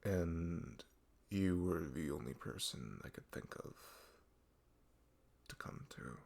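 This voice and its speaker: Troubled male best friend for ASMR roleplay, acted by a man: deep, creaky, hesitant, apologetic, stammering. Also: animated, darkish tone, exaggerated voice. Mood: downcast, hesitant. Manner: hesitant, halting, stammering, sighing. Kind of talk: intimate ASMR audio roleplay.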